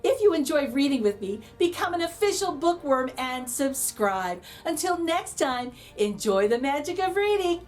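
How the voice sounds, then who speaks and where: A woman with a high voice narrates a children's picture book. Her delivery is theatrical and very lively, with big swings in pitch and dramatic pauses for emphasis. Soft music plays behind her. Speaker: children's read-aloud host.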